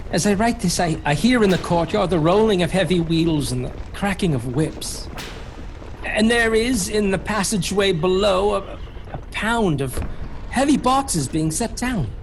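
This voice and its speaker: A frightened young man alone in a castle in a horror radio play. He speaks slowly, stumbling and repeating himself in dread. Background ambience sits behind the voice. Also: sits high, sing-song, full character voice. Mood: fearful. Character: terrified young man trapped in castle, writing his journal. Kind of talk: horror radio drama monologue. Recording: clear background noise and ambience.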